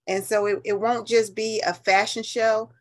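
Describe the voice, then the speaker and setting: A woman's voice: mid-range voice, level tone, normal speed. A woman in her fifties with an American accent, telling stories casually and emphatically as an interview guest. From New Haven, United States. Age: 50s.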